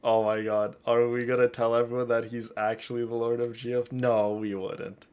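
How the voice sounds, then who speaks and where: A man livestreaming a game with casual, chatty commentary, often halting and repeating words. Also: mid-range voice, flat delivery, darkish tone, clean tone.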